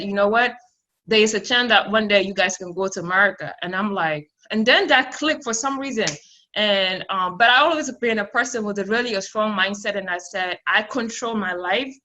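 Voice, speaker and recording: Bright-voiced woman from South Sudan. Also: mid-range voice, moderate pace, highs cut off. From South Sudan, raised in Ethiopia. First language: Amharic. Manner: rambling, with many fillers and restarts; laughs between phrases. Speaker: podcast guest. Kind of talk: casual interview answers.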